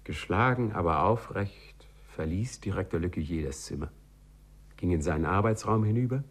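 An actor narrating a 1950s German radio play as a measured, dryly ironic male storyteller. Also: low-pitched, lively intonation.

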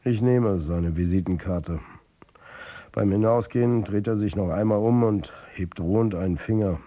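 Radio drama lead, male actor: embattled coach as narrator, dark husky timbre, terse and bitter delivery. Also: lowish voice, normal speed, lightly acted. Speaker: first-person narrator.

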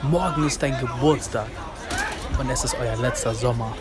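A man's voice: mid-range voice, normal speed, over a noisy crowd, close to an everyday voice. A man playing a street-smart narrator in a radio drama, telling a gritty story in rhythmic, staccato phrases. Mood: gritty, cool. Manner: rhythmic, staccato spoken-word delivery in short phrases. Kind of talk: scripted radio drama narration in second person. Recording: loud music bed, urban street sounds.

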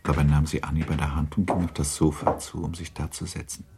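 A grave, composed storyteller narrating a literary radio drama. His voice is extremely low with a gravelly creak, and his delivery is sober and measured. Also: flat delivery, background noise. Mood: grave, restrained.

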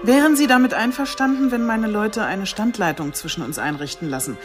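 An actress playing a businesslike police chief inspector in a radio drama, sober and matter-of-fact. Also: female voice, mid-range voice, brisk, over music, natural voice. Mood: focused.

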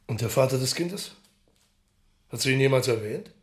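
An actor playing a pensive, sceptical police marshal in a radio crime drama, thoughtful and questioning. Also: male voice, mid-range voice, lively intonation, moderate pace, slightly rough.

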